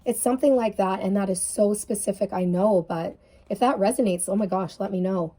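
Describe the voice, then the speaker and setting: A woman reads tarot cards in a loose, earnest way, filling her talk with "like" and "um." Her voice is mellow and soft. She sounds a little sniffly and tired. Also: medium pitch, brisk. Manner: earnest, intuitive, many fillers like "like" and "um". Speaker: tarot reader. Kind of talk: casual tarot reading.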